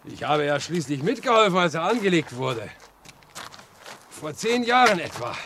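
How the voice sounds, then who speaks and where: High-pitched, chatty railway workman. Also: very animated, normal speed, fairly bright tone. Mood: chatty, helpful. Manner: folksy, chatty. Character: talkative, good-humoured railway track worker, wry and helpful. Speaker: radio drama actor. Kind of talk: 1950s German radio play acting. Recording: noisy vintage 1950s radio recording.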